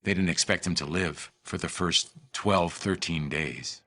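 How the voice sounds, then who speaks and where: An older man with an American accent reminisces in an interview. His voice is deep and low, and he talks casually, as if recounting family stories. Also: a little slow, bright, forceful tone. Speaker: interviewee recalling family memories.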